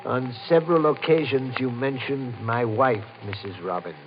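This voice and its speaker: A man playing a cold, calculating murderer in old-time radio horror, with a menacing, deliberate, theatrical delivery. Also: mid-range voice, faint music behind, close to an everyday voice. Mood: cold, confessing. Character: cold, calculating husband turned murderous villain. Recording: muffled, noisy vintage radio recording.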